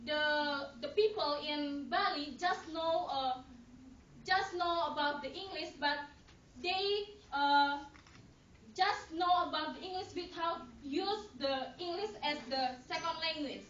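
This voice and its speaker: A woman giving a student debate speech, halting and full of fillers and restarts in non-native English, heard from far off in an echoey hall on a dull lo-fi recording. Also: high-pitched, a bit bright, slight background noise. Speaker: debater, third speaker of negative team.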